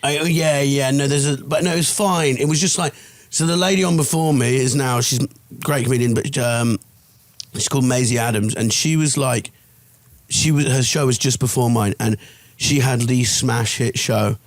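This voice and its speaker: A man's voice: mid-range voice, moderate pace, no room sound. Dry-voiced London man telling wry stories. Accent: London English. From England. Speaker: comedian guest. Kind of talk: casual podcast chat.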